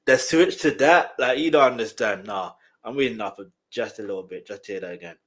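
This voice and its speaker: A man with a slightly nasal voice, giving casual reaction commentary and talking straight to the viewer, often repeating words in quick bursts. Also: fairly low pitch, a bit bright. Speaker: music reaction host.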